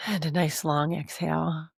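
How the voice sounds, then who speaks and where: Congested woman podcast host, gravelly. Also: low voice, flat delivery, normal speed, no room sound, clean studio sound. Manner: earnest, encouraging, repeats lists for emphasis. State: congested from a cold. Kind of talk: coaching monologue.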